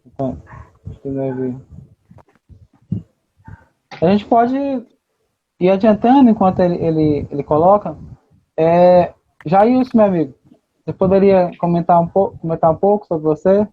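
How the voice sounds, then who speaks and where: A man's voice: sits high, expressive, normal speed, pauses often. A man hosting an online live, casually coordinating the guests. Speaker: live stream host. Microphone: video call microphone. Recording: muffled live stream audio.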